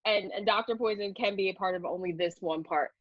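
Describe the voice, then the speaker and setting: A woman's voice: mid-range voice, fairly quick, no echo, dull audio. A woman interviewer chatting casually, with a playful, giggly manner.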